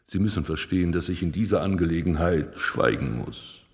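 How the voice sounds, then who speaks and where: Sly, swooping police commissioner. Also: male voice, deep voice, lively intonation, fairly quick. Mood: ironic, teasing. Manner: ironic, teasing, probing. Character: sly, sardonic male police commissioner, probing suspects. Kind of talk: radio play acting. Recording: studio radio drama in reverberant room.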